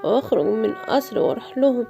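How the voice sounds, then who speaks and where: A woman's voice: on the high side, lively intonation, very fast, gravelly, music in the background. A woman with an Egyptian accent voicing a young lion cub in a children's cartoon, childlike and exclamatory. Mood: cheerful. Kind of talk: animated children's story, acting all characters.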